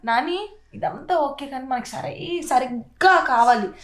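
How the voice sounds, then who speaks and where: A woman's voice: sits high, expressive, measured pace. A young woman chatting casually as she hosts a prank video. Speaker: prank show host. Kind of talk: casual chat. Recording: echoey room sound.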